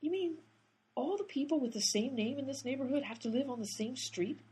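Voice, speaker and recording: A woman playing a breathy, exasperated sleuth. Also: sits high, expressive, fairly quick, mellow, exaggerated voice. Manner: exasperated and bossy. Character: exasperated female amateur sleuth, brisk and bossy. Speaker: lead character, amateur sleuth. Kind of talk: comic radio play acting.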